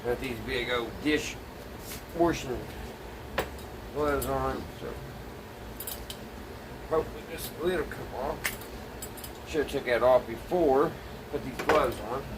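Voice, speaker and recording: A man's voice: medium pitch, takes it slowly, long pauses. A plainspoken, folksy man thinking aloud as he casually narrates hands-on work to viewers. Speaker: DIY vlogger. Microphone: phone camera set away from speaker. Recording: distant mic, noisy with steady background hum.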